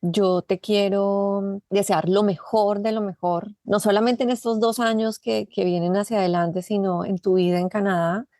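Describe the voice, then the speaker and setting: A woman hosting an interview podcast, speaking in a gracious, measured way. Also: medium pitch, fairly even intonation, dark tone, no echo, studio quality. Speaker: podcast host.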